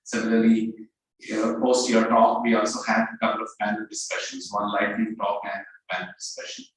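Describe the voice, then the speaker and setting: A man's voice: lowish voice, little pitch movement, fairly quick. A man thanks a guest and describes the event. His speech halts with many fillers. He sounds far from the microphone, with a strong echo around him. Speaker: event organizer. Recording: echoey hall, from far off.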